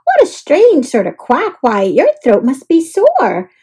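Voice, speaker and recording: Woman doing a high, earnest little boy. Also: wildly swooping pitch, measured pace, dark tone, full character voice. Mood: sympathetic. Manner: exclamatory, earnest, fussing. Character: kind, helpful young boy, would-be knight.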